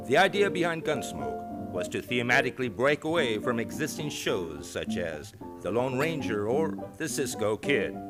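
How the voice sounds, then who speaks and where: A man announcing in scripted old-time radio style, with grandiose, theatrical showmanship. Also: mid-range voice. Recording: live theater show with music.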